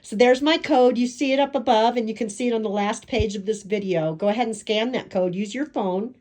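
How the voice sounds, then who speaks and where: A woman's voice: a little high, fairly quick, darkish tone. A woman with a stuffed-up head cold, chatting enthusiastically in a casual home video from her small apartment living room. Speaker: vlogger. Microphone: handheld phone camera, off camera.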